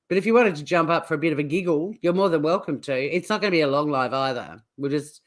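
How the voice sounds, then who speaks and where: A woman's voice: low voice, animated, fairly quick, pauses often. A woman hosting a casual livestream, chatting to viewers in an informal, teasing way. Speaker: livestream host.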